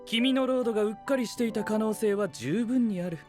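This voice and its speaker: A man's voice: sits high, fairly quick, a little mellow, soft background music, close to an everyday voice. An actor playing an analytical, pedantic young male knight with precise, formal phrasing in an anime-style voice drama.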